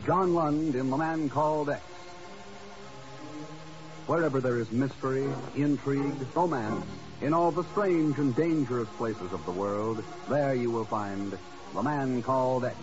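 A man's voice: medium pitch, measured pace. A man announcing from a script in the style of 1950s American network radio. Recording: dull 1950s radio recording. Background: music.

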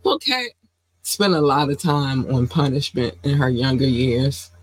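Lively, slangy woman podcast host. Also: very deep voice, unhurried, dry recording, rough recording. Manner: animated, slangy, playful, repeats phrases. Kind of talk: casual podcast chat.

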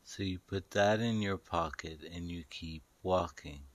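Man, low-pitched voice, unhurried guided meditation narration, deliberate pauses, dry close sound. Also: no echo. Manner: deliberate, short pausing phrases, instructing the listener. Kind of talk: guided meditation, slow storytelling.

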